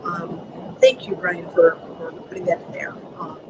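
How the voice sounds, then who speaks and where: A webinar presenter walking listeners through training materials, with a soft voice that does not carry, pausing often with ums. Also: mid-range voice, flat delivery, muffled recording. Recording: loud background noise on a webinar mic.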